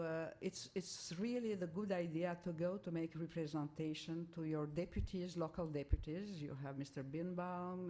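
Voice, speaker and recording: A woman's voice: sits low, warm tone. A woman councillor answering at a council meeting, speaking carefully and searching for words in a language that is not her first. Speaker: city councillor.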